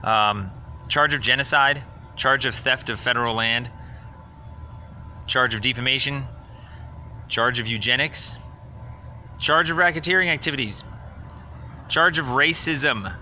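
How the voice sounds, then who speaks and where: Male caller, mid-pitched and forceful, confrontational and sarcastic, rambling accusatory voicemail, slight noise behind. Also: measured pace, long pauses. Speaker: caller leaving a voicemail complaint. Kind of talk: rambling phone message, accusatory monologue.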